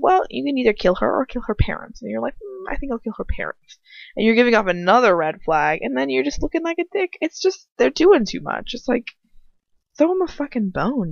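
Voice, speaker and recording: A woman's voice: fairly high pitch, creaky. A woman talking casually in a rambling, opinionated, slangy way, with some swearing, as she comments on a story she is reading aloud. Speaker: amateur fan fiction reader. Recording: roomy, slightly dull home recording.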